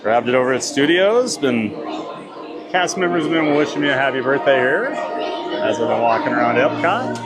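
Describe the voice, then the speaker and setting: Rambling man streaming over loud music. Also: mid-range voice, animated, normal speed. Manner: rambling, chatty. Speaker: live-stream host. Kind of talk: live-stream chat, reading viewer comments aloud. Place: outdoor theme park. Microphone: phone streaming rig. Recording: loud park music behind. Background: loud theme park music.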